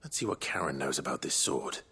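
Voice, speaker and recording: An actor playing an earnest, courteous young hero in a fantasy video game, speaking in a measured, restrained way. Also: male voice, rumbling low, lively intonation, creaky, sharp tone, a little hiss or hum, heavily acted. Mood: calm, earnest. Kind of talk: dramatic video game dialogue.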